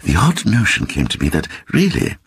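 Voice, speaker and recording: Timid apologetic Englishman, played by a male narrator, husky, hesitant, confiding, audiobook tale. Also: a bass voice, wildly swooping pitch, normal speed, a bit bright, no echo, heavily acted. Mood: uneasy, confiding. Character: timid, apologetic Englishman recounting an uncanny experience.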